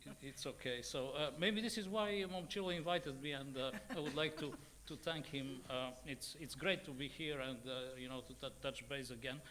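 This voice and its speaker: A man in his sixties with a Bulgarian accent, speaking off the cuff as a journalist on a conference panel, haltingly and with many fillers and repeats. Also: mid-range voice, fairly bright tone, a little hiss or hum. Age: 60s. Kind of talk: unscripted panel remarks.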